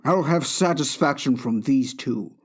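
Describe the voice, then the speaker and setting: A man playing a menacing, vengeful wronged suitor in a comic dub, formal and deliberate. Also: mid-range voice, animated, husky. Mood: cold, vengeful.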